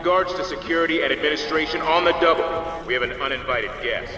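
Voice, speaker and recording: An actor playing a stern security announcer in a video game, giving clipped, official loudspeaker announcements. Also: male voice, a little high, a little fast. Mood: official alert. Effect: loudspeaker announcement echo. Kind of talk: formal public-address announcement, video game acting. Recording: echoey hall from far off, music behind. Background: music.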